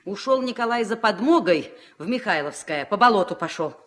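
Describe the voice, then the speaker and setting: A woman playing an anxious, soft-hearted old village grandmother who scolds and pleads in folk speech, in a 1970s Soviet radio drama. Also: medium pitch, animated, a little fast, close to an everyday voice. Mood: worried. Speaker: actress in a radio play.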